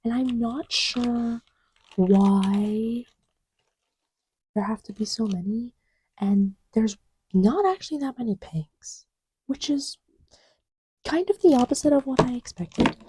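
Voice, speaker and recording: Soft woman kinda half whispering to herself, slow n mellow, casual asmr ramble. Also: fairly high pitch, breathy edge, rough recording. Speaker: audio creator. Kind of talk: casual ASMR-style rambling while testing markers.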